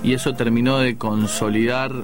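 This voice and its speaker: A man's voice: medium pitch, flat delivery, normal speed, over music. A man with a Rioplatense accent, a guest musician answering interview questions thoughtfully and with hesitant restarts. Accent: Rioplatense Spanish. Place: radio studio.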